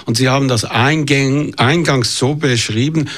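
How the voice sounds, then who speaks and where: A man's voice: mid-range voice, normal speed, a bit bright. A man interviewing a guest, asking probing, chatty questions.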